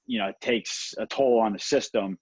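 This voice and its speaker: A man chatting casually on a coaches' call, halting and peppering his talk with "like" and "you know". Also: husky, dry recording. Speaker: participant in a coaches' conference call. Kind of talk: casual chat. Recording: dull, dry low-fidelity call audio.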